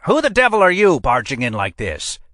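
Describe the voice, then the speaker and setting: A man's voice: big pitch swings, normal speed, pushed and bright, no echo, studio quality, heavily acted. A man voicing an indignant older male medical examiner who blusters when challenged. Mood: outraged. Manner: indignant, high-strung, blustering.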